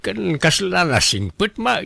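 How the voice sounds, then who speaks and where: A man playing a concerned, inquisitive, mildly disapproving family relative in a radio drama, questioning in a drawn-out way. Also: sits high, very animated, creaky, bright, forceful tone, breathy edge, no room sound, clean studio sound, exaggerated voice. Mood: curious, disapproving.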